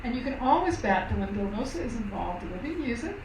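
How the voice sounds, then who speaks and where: A woman's voice: on the high side, sing-song, fast talker. A woman moderating a university event, introducing a speaker in a chatty way with many fillers. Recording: echoey room from far off, noisy.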